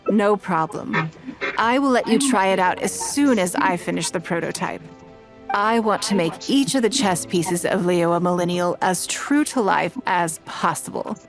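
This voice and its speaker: A woman's voice: on the low side, normal speed, over music, natural voice. An actress playing a poised, shrewd, wealthy businesswoman in a video game, speaking formally and articulately. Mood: poised, self-assured.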